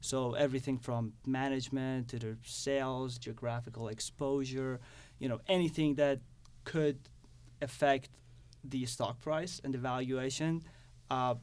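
Even-toned young man from Iran. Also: mid-range voice, a little slow. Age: young adult. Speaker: student guest. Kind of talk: casual interview answers. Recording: clearly audible background noise.

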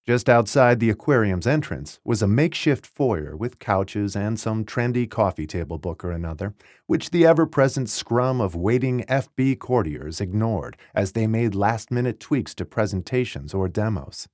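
Male narrator for memoir audiobook: lowish register, very expressive intonation, wry and articulate delivery, dry close studio sound. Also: normal speed, no echo. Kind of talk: scripted audiobook narration of a first-person memoir.

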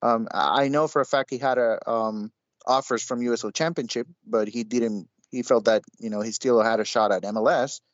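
A man chatting casually about soccer on a podcast, speaking haltingly with frequent restarts and fillers. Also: mid-range voice, steady tone, dry recording, muffled recording. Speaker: podcast panelist. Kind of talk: casual sports chat.

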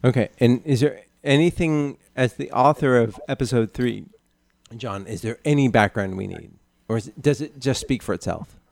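A man's voice: medium pitch, moderate pace, vocal fry, darkish tone, dry recording. Hesitant man hosting a podcast. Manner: hesitant, filler-heavy, steering the show. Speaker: podcast host. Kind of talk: casual comedy podcast chat.

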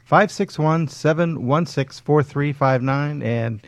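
A man's voice: fairly high pitch, takes it slowly, no room sound. A man speaking casually as a guest on a radio show. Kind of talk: casual interview chat.

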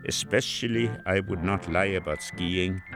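A man from Norway with a Norwegian accent, reading an old advertisement aloud in an earnest, deliberate way. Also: deep voice, over music.

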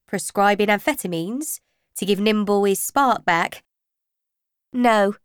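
A woman's voice: mid-range voice, takes it slowly, clear, resonant tone, dry recording, close to an everyday voice. A woman voicing a dry, frank young female vet with a matter-of-fact manner in an audiobook. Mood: dry, teasing.

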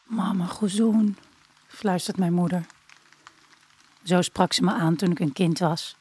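An actress playing a grieving daughter who narrates an audio drama in a measured, intimate, solemn way. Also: female voice, lowish voice, steady tone, dark tone, slightly rough. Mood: sorrowful. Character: grieving daughter narrating her dying mother's last days. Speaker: narrator actor. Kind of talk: literary first-person audio drama narration.

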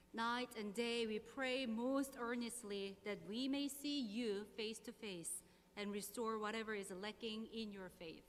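A woman pastor in her thirties from South Korea, speaking English with a Korean accent, leading prayer, reading scripture and preaching in a measured, earnest way. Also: medium pitch. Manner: measured, earnest, slow deliberate phrasing. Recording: long hall reverb, faint hum.

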